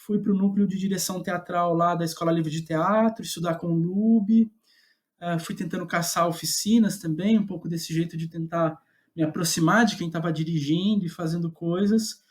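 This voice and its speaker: A man's voice: sits high, steady tone, normal speed. A man with a Brazilian accent speaking casually as an interview guest, recounting his own career in a self-deprecating, chuckling way. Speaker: guest playwright.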